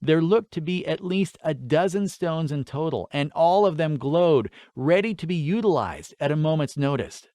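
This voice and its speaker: A man's voice: on the high side, expressive, moderate pace, few pauses, darkish tone, no room sound, clean studio sound. A man narrating a scripted fantasy story as an audiobook reader.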